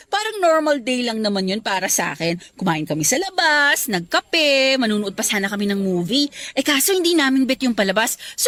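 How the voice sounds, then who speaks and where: A woman's voice: fairly high pitch, a bit bright, dry recording. A Filipino woman playing a chatty, clingy young bride-to-be in a Tagalog radio romance drama, gushing and teasing.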